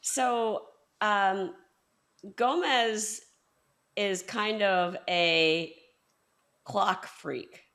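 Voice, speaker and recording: A woman's voice: medium pitch, extremely slow, pauses often, fairly bright tone. A woman hosting a book podcast, asking questions in a thoughtful, hesitant way with frequent ums. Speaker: podcast host. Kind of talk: interview conversation.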